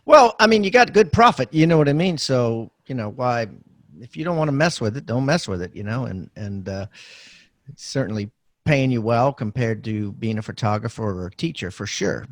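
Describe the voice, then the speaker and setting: A man's voice: medium pitch, lively intonation, moderate pace, dry recording. A man hosting a podcast interview, asking questions in an informal, conversational way. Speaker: podcast host interviewing a guest. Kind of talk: casual interview chat.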